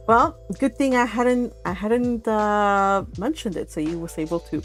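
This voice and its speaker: A woman hosting a read-along stream, chatting casually and rambling with frequent laughter. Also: fairly high pitch. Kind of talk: casual chat with participants.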